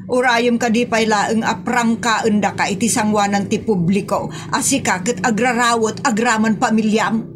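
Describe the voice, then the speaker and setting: A woman's voice: fairly high pitch, fairly even intonation, normal speed, few pauses, music in the background, natural voice. A woman with an Ilocano accent acting as a scolding, easily mortified mother in a comedy audio drama, exclaiming in exasperation.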